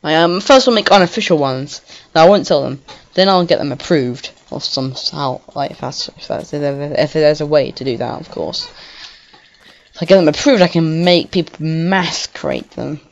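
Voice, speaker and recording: A woman gives casual, rambling commentary while playing a game, excitable and quick to swear. Her voice sits a little low for a woman. It comes through a home computer mic that sounds slightly dull and lo-fi. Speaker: gaming video creator. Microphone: home computer microphone.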